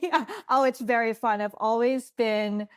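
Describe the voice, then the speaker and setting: A woman whose first language is Tagalog, an actor chatting casually in an interview, playful and quick to laugh. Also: fairly high pitch, fairly even intonation, smooth, dry recording, clean studio sound. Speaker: actor guest.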